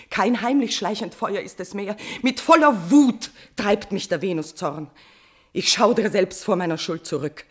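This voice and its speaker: An actress playing a guilt-tormented, passionate queen in a classical verse tragedy for radio, declaiming with shifts between hushed and forceful delivery. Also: fairly low pitch. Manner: declamatory, swinging from whispers to outbursts.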